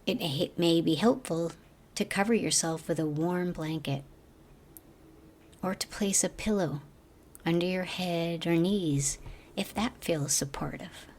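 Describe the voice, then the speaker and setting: A woman guiding a meditation as a teacher, speaking gently in slow, measured phrases that trail off softly. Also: fairly low pitch, slight background noise. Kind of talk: guided meditation narration.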